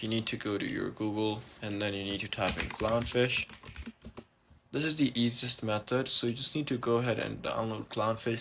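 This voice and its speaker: A man narrating a casual, step-by-step software tutorial in an informal, instructional way. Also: medium pitch, vocal fry, slight background noise. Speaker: tutorial host.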